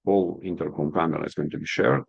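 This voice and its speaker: A man with a low, creaky voice walks through a product demonstration. He explains things step by step like a trainer checking that everyone follows, and the sound is close and dry. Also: steady tone, quick pace, no echo, dull audio. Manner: step-by-step, instructional, checking understanding. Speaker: product trainer presenting a webinar.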